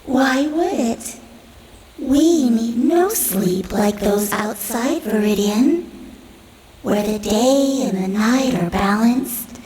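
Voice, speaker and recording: A woman playing a serene, grave elven guardian in a fantasy audio drama, speaking in a measured, solemn way. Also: a little high, lively intonation, darkish tone. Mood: solemn, calm. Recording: roomy echo, audible background noise.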